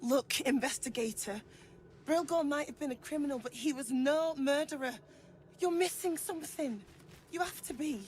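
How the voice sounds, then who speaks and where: An earnest temple priestess from a fantasy game, acted by a woman. The voice sits a little high and sounds troubled and insistent. Light ambient noise runs behind it. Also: moderate pace, darkish tone, lightly acted. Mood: pleading insistence. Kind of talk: dramatic game voice acting.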